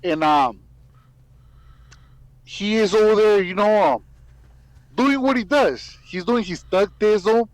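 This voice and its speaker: A man's voice: sits high, takes it slowly, long pauses, no echo, low-quality recording. A man making online videos, talking casually to viewers in slang with Spanish words mixed in. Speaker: YouTube content creator.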